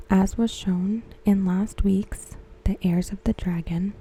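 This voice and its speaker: A woman speaks in a hushed, breathy whisper, very mellow and soft. She reads slowly, as an ASMR host would, with gentle, measured and carefully phrased narration. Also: fairly low pitch, poor audio. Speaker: ASMR host reviewing a TV episode. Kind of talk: soft-spoken ASMR, scripted recap narration.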